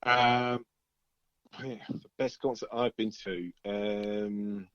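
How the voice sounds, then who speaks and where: Middle-aged male musician, interview guest. Low voice, hesitant rambling chat, muffled close dry recording. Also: steady tone, slow pace, fairly bright tone, dry recording. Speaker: interviewed musician, band member. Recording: dull, lo-fi band-limited line.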